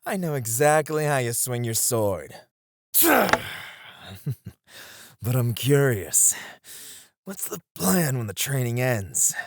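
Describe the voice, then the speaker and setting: Man acting as seasoned male knight, wistful and teasing, unhurried, swooping intonation, close dry sound, romantic audio roleplay. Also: mid-range voice, expressive, dry recording. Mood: teasing, curious. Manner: hesitant, reflective phrasing with sighs and chuckles.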